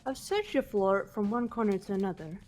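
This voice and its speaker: A woman voicing a cool, analytical teenage girl detective, deliberate and composed, for a visual novel game. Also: medium pitch, a little mellow, soft background music, close to an everyday voice. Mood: intrigued, composed. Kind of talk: voiced visual novel reading.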